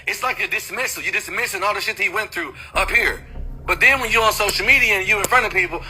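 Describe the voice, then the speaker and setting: A man's voice: high voice, fast, pushed and bright. A man in a heated argument on a recorded phone call, confrontational and profane, pressing the same points over and over.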